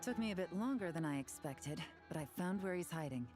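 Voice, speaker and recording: A confident agent with a low, calm voice, never in a hurry, played by an actress. Soft game music sits underneath. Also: female voice, fairly quick, close to an everyday voice. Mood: cool. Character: cool, confident female agent.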